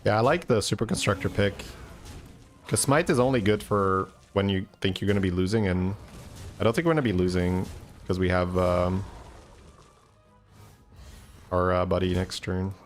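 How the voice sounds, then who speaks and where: A man, a Dutch speaker, giving casual gameplay commentary as a streamer, thinking aloud in a low-key, musing way. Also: moderate pace, long pauses, faint music behind. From Belgium.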